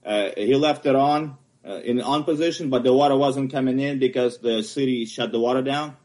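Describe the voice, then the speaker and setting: A man from Ukraine with a Ukrainian accent, English not his first language, haltingly explaining his property appeal at a hearing. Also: medium pitch, a little mellow. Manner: halting, searching for words. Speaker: homeowner appealing a property assessment.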